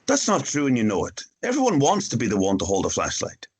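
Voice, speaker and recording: A man's voice: mid-range voice, wildly swooping pitch, fast, husky. A man acting a casual, teasing, frank boyfriend in a screenplay table read, speaking bluntly and familiarly.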